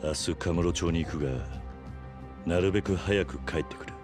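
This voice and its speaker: A male actor voices a grave, protective yakuza man for a video game cutscene. His voice sits extremely low. He speaks in short, measured, serious phrases over clearly audible music. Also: steady tone, natural voice. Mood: resolute. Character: stoic, protective middle-aged yakuza man, gruff and grave. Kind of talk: dramatic Japanese video game acting.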